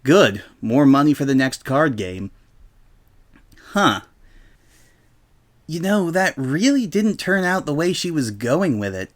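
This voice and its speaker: A man's voice: on the high side, sing-song, slow pace, pauses often. A man voicing a brash, boastful young female pegasus pony in a story reading, with a casual, grumbling, self-important manner. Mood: grumbling, incredulous.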